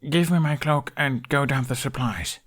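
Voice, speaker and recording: A man's voice: mid-range voice, normal speed, fairly bright tone, pitched above the performer's own voice, lightly acted. A man voicing a determined, self-sacrificing young male runaway in a fantasy audiobook, urgent and insistent. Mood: resolute.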